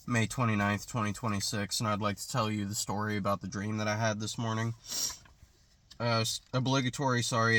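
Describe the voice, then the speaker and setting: A man's voice: fairly low pitch, a bit bright. A young man vlogging from his car, recounting a dream in a hesitant, rambling way with lots of fillers, a little congested from allergies. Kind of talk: casual rambling monologue. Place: in a parked car.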